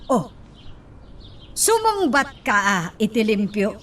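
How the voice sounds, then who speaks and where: A woman's voice: a little high, big pitch swings, slow, a bit bright, recorded from a distance, full character voice. An actress playing a domineering, demanding mother, bossy and scolding, in a radio drama. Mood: stern, scolding.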